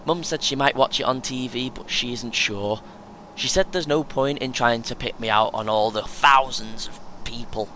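Audio play: male actor as cocky, earnest young British runner, chatty know-all, bright edge, average pitch, light engine rumble underneath. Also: normal speed, lightly acted. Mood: deflated. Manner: earnest, self-important, chatty, chuckles often. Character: chatty, boastful, eager young amateur marathon runner. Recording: faint vehicle noise behind. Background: vehicle rumble, coach ambience.

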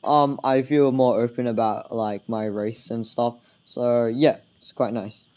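A teenage student answering interview questions in a casual, hesitant way, full of fillers. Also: male voice, fairly even intonation, unhurried. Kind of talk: school podcast interview. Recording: dull low-fidelity school recording.

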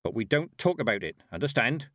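A man voicing a stern, commanding old woman witch who talks in a brisk, no-nonsense way. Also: mid-range voice, sing-song, a bit bright, no room sound, studio quality.

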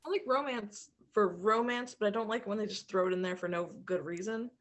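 A woman with a medium-pitched voice chats as a podcast co-host. Her delivery is informal and a little halting, as she works out what to say while sharing her opinions. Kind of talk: casual chat, giving movie reviews.

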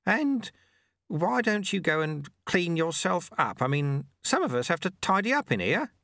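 A sly, kindly little old man, as a male narrator puts him on, chatting in a high, lilting storybook voice. He teases gently, with lively swoops, and the recording is close and dry. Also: normal speed, gravelly, no room sound, full character voice. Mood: amused kindly. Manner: genial, gently teasing, high and bright.

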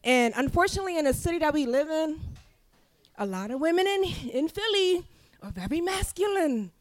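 Middle-aged Philadelphia woman, high voice, bluntly lecturing a live crowd with salty humor. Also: very animated, a little fast. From Philadelphia, USA. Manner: blunt, profane, laughing, preachy. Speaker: podcast co-host. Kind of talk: live podcast talk before an audience.

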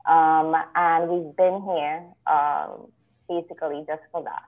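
A woman from Trinidad, a lawyer guest telling her story in a measured, reflective way over a remote call. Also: low-pitched, fairly even intonation. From Trinidad and Tobago. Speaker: guest, attorney. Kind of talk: conversational interview. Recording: tinny phone-like call line.

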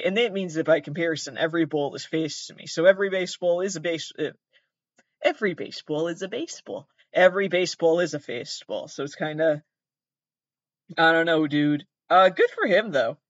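A man's voice: fairly high pitch, a little fast, no room sound, dull audio. A man hosting comedic commentary on pranks, rambling, swearing and joking at his own expense. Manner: rambling, swearing, self-deprecating.

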